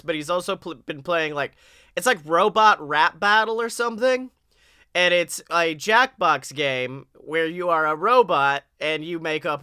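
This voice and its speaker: A young man hosting a casual wrestling podcast in an excitable, playful, hyped-up way. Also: high voice, clean tone, no room sound, studio quality. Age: young adult. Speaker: podcast host. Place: small room at home, on a video call.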